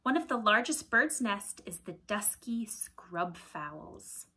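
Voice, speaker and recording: A woman in her thirties reading aloud to children like a teacher, deliberate and expressive, with a breathy voice that often drops to a hush. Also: mid-range voice. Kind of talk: children's picture-book read-aloud. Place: small indoor room at home with shelves.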